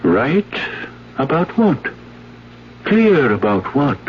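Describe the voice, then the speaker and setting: A man playing a gentle, bewildered priest in a 1970s radio drama, patient, hesitant and questioning. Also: mid-range voice, big pitch swings, slow pace. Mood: probing, concerned. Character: gentle, bewildered fatherly male priest hearing a confession. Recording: dull, noisy 1970s radio broadcast.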